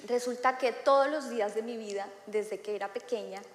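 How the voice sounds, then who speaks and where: Thirtyish woman preacher with a somewhat high voice, talking casually from the pulpit, recorded with heavy room echo. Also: fairly quick, a little hiss or hum. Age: 30s. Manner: conversational, frequent filler "eh" and "¿no?". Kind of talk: sermon. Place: church hall. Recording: echoey hall with long reverb.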